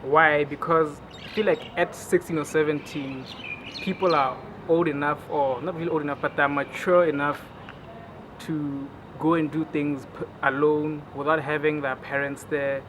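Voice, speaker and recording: Young man, slightly high voice, relaxed and hesitant, clear street traffic behind. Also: normal speed. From Botswana. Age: 20s. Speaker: interviewee. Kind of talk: casual personal opinion.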